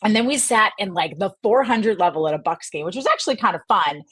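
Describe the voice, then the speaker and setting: A woman's voice: medium pitch, fast, husky, dry recording. A woman in her forties from Wisconsin, a sports journalist guest, telling stories with lots of laughs. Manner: animated storytelling, laughs often. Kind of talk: casual chat.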